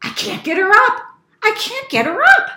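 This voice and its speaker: A gentle storybook mum, as a woman reader plays her, easily flustered. Her sweet voice climbs high and swings expressively in an echoey room. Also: very animated, slow, slight background noise, heavily acted. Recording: roomy, echoey indoor sound.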